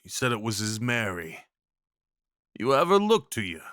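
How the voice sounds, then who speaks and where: A man's voice: lowish voice, very animated, normal speed, fairly bright tone, no echo. Man playing a folksy Old West cowboy, close and dry. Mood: solemn, sympathetic. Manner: folksy, reassuring, informal. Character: kindly rough-spoken Old West cowboy guide, protective and awkward. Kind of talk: intimate audio roleplay acting.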